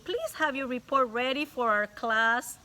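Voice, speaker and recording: A woman voicing a stern veteran schoolteacher with a deliberate, over-sweet, businesslike way of talking in a storybook read-aloud. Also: sits high, expressive, pushed and bright, exaggerated voice. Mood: sickly sweet.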